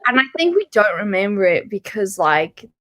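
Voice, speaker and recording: A woman chats casually as co-host of a book show, with a middling pitch. Her phrasing is informal and a little hesitant, and her thoughts tend to trail off. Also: very animated, normal speed, fairly bright tone. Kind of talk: casual book discussion chat.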